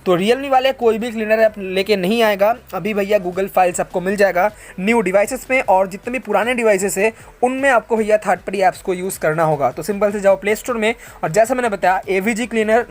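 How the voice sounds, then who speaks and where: An Indian tech channel host casually reads out and answers viewer questions, explaining things conversationally and mixing English tech terms into the talk. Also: medium pitch. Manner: conversational, explanatory, addresses listeners as bro and friends.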